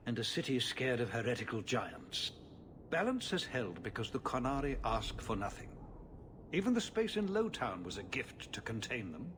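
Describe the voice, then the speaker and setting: An actor playing a burdened middle-aged city ruler in a fantasy game, speaking in a measured, formal, world-weary way. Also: male voice, medium pitch, a bit bright, slight background noise. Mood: weary, grave. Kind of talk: video game cutscene acting.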